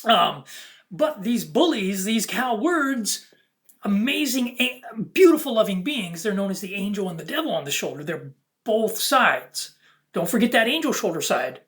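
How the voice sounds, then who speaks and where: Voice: mid-range voice, sing-song, normal speed, a bit bright. A speaker of unclear sex delivering a rambling spiritual monologue as a video host, in an earnest, preachy and fragmented way.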